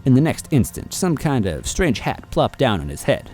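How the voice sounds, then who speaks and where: A man narrating a fan-fiction story aloud in a playful, animated way. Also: medium pitch, normal speed, faint music behind.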